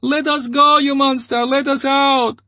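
A man voicing a desperate, starving crowd that pleads and shouts. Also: very high voice, level tone, a little slow, no echo, voice pushed up, exaggerated voice. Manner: wailing, pleading.

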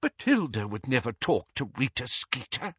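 A man voicing a frail, easily distressed elderly wizard in a storybook, earnest and feebly protesting in a trembling, bleating croak. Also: very high voice, measured pace, pushed and bright, no echo, muffled recording, pitched above the performer's own voice, full character voice. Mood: feebly scornful.

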